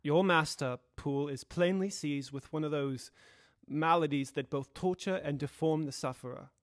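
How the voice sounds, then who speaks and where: A young man playing a sober, sceptical gentleman lawyer in an audio drama, speaking in a formal, measured way. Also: a little high, dry recording, studio quality, natural voice. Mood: reasoning, grave. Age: young adult.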